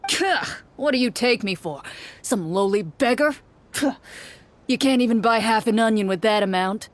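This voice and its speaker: A woman voicing a gruff, greedy, cynical male merchant in a video game, curt and dismissive. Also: fairly high pitch, normal speed, lightly acted. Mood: scornful. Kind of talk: video game character acting.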